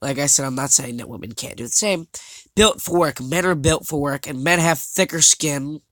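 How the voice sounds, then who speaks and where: A young man with a somewhat high voice talks casually over slides in a dry, close recording. He sounds stuffed up and a little scratchy, and he stumbles through his points with fillers and restarts. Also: a bit bright, no echo. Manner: halting, many fillers and restarts. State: congested, slightly scratchy voice. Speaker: vlogger.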